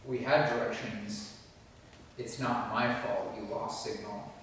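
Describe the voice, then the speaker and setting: A man's voice: on the low side, fairly even intonation, slow, a bit bright. A man reading the part of a defensive, curt brother of about thirty in a film script, with a dry delivery. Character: Mark, defensive, curt thirty-year-old younger brother. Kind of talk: table read of a film script. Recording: echoey room from far off, faint noise.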